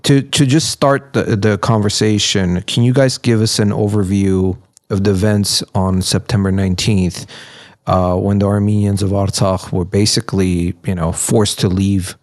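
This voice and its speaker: A man hosting a podcast interview in a conversational, somewhat halting manner. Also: on the low side, steady tone, darkish tone, no echo, studio quality. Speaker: podcast host.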